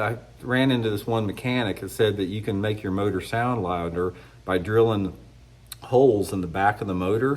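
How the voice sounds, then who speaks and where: Midwestern man, middle-aged, congested, fairly low voice; casual blunt talk to camera with frequent restarts. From United States. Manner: rambling, blunt, restarts often. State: congested from a cold. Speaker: fishing channel host. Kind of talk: casual opinion monologue to camera.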